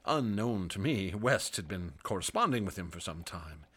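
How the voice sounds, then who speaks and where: An actor playing a haunted doctor who narrates gravely and grows excited in scenes. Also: male voice, sits low, moderate pace, full character voice. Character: frightened male doctor assistant and narrator. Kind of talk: radio drama narration.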